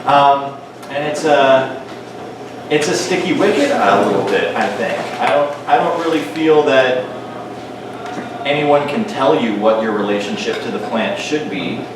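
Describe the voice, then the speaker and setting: A man on a discussion panel answering a question thoughtfully, with many pauses and restarts. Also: medium pitch, lively intonation. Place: event hall. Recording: echoey hall from far off, noisy.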